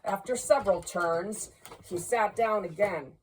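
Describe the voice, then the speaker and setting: A woman narrating a classic storybook in a deliberate, expressive way, pausing between short phrases. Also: mid-range voice, slight background noise, poor audio. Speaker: amateur audiobook reader.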